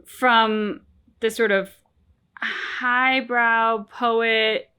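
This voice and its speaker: A woman's voice: fairly high pitch, level tone, very slow, pushed and bright, smooth. Reflective woman in casual game commentary. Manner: reflective, analytical, informal. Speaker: reaction channel host.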